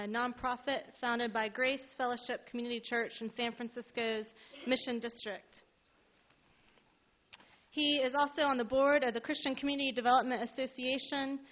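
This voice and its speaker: A woman with a fairly high voice introduces speakers as a panel moderator. Her delivery is semi-formal and measured, with hesitant pauses. The live event recording is somewhat dull.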